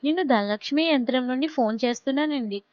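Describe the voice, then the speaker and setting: A woman playing a customer care caller who politely and patiently delivers a sales pitch over the phone. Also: quick pace, darkish tone, highs cut off. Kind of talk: scripted sales phone call.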